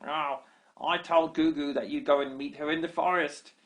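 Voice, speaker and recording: A man voicing a mischievous, gleeful baby girl goblin with a high, squeaky, crowing chant. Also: steady tone, normal speed, natural voice. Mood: gloating.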